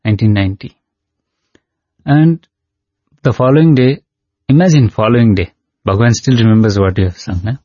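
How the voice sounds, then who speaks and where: A man whose first language is Nepali, a devotional singer telling stories in an unhurried way, pausing often. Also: on the low side, no room sound, muffled recording. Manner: unhurried storyteller, pausing with "uh" and light laughs. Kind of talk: reminiscing in conversation.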